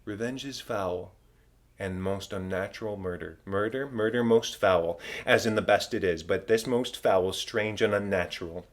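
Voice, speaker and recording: A man voicing the ghost of a murdered king, a solemn, tormented, vengeful father declaiming in drawn-out phrases in a Shakespeare audiobook. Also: fairly low pitch, natural voice. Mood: bitter, grieving. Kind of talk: dramatic Shakespeare audiobook reading.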